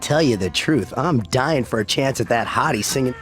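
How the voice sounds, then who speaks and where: An actor playing a chatty, leering party worker in a video game, casual and slangy. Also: male voice, mid-range voice, lively intonation, moderate pace, over music, natural voice. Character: chatty, leering, gossipy male party staffer. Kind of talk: video game cutscene acting.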